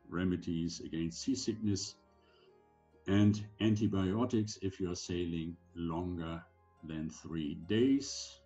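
An older man, around seventy, giving an instructional slide presentation in measured, deliberate short phrases, recorded indoors on a computer microphone. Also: low voice, dark tone, lo-fi sound. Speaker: presenter giving medical advice for sailors.

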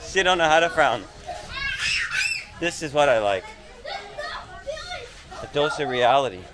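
A man's voice: on the high side, animated, unhurried. A middle-aged man from Canada walking through a village and chatting with locals for his travel vlog, in short simple phrases. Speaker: travel vlogger. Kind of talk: casual walking vlog chat. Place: outdoors in a busy village neighborhood. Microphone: action camera held or worn on body. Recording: outdoor crowd and street noise.

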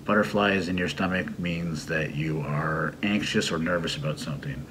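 A man's voice: a bass voice, normal speed, noisy background. A middle-aged man giving a casual, explanatory English idiom lesson with a teasing streak. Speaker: English teacher and video host. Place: small home room at a computer desk.

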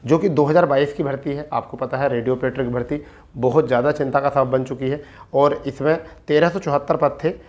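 A man in his thirties speaks at a medium pitch. He presents an informal update to his viewers, explaining step by step and restating key points so they stick. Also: fairly even intonation, fairly quick, darkish tone, dull audio. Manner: repeats points for emphasis. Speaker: exam-update video presenter. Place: small plain room indoors. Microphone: clip-on lapel mic.

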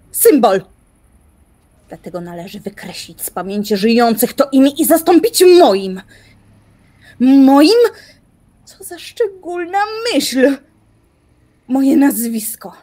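A woman's voice: on the high side, dark tone. An actress playing an obsessive young woman musing aloud theatrically in a radio play. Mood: bewildered, distraught. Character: intense obsessive young woman, brooding philosopher. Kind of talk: radio play dramatic monologue.

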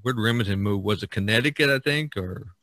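A man with a lowish voice hosts a casual podcast discussion. He feels his way along in a hesitant, meandering manner. The sound is dry and close. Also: brisk, fairly bright tone, dry recording. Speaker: podcast host.

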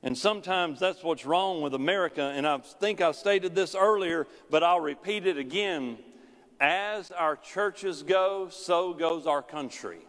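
A middle-aged male pastor preaching a sermon in a large church sanctuary, pacing the stage. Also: unhurried. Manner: preaching with wide swings in pitch and loudness, pacing the stage. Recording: long church hall reverb.

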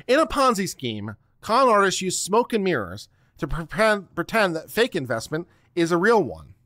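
A man's voice: on the high side, a bit bright, dry recording. A middle-aged man reading a text aloud in a dramatic, emphatic, declamatory manner into a close desk microphone. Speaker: host of a legal commentary livestream. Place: home studio room, close and dry. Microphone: desk microphone close to the mouth.

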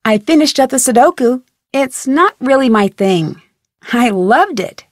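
A woman's voice: high-pitched, animated, unhurried, dry recording, clean studio sound. An actress playing an inquisitive, plucky young female detective in a video game, earnest and questioning. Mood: friendly, casual. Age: young adult.

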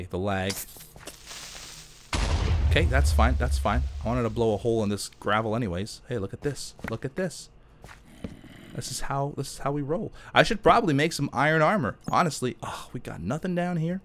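A man with a mid-pitched voice hosts a gaming let's play in a loose, conversational style. He is informal and jokes at his own expense. Faint game ambience sits behind his voice. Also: lively intonation, moderate pace, long pauses, noisy background. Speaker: gaming YouTuber host. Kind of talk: casual chatty let's play commentary.

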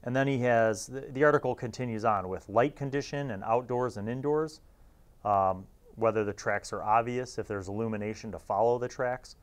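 Male host, forties, average pitch, casual commentary style, conversational with punchy asides, lapel-mic sound. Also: moderate pace. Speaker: host of a tabletop gaming review series. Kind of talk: casual review and commentary on a magazine.

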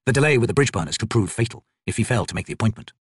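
Male narrator for fantasy audiobook: rough-edged tone, animated intonation, scripted read. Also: fairly low pitch, racing, no echo, studio quality.

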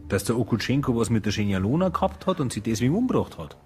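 An actor playing a wisecracking, sceptical detective with deadpan irony and a Bavarian accent in a radio drama. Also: male voice, mid-range voice, rapid-fire, faint music behind, lightly acted. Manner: deadpan, ironic. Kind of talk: naturalistic radio drama acting.

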